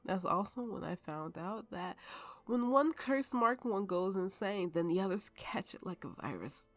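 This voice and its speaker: Haunted, tipsy swordswoman played by an actress, ASMR roleplay storyteller; slow, creaky delivery, bitter and grieving, dramatic pauses. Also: medium pitch, expressive, no echo, faint music behind. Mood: bitter grief. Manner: halting, slow, dramatic pauses, dropping toward whispers.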